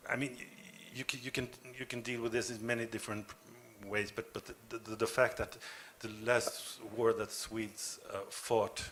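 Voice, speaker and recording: A man from Norway answering at a panel discussion, speaking haltingly with many repeated words. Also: lowish voice, flat delivery, fairly bright tone.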